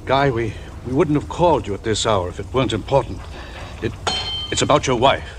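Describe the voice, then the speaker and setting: An actor playing a stern older senator and father in a 1950s radio drama, grave and halting. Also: mid-range voice, animated, a little hiss or hum, lightly acted.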